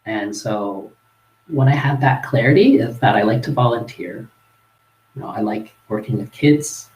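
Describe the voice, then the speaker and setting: Canadian male guest, relaxed storyteller with a chuckle, echoey room. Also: fairly low pitch, moderate pace. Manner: relaxed, chuckling, with frequent fillers and restarts. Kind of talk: casual storytelling in a live-streamed interview.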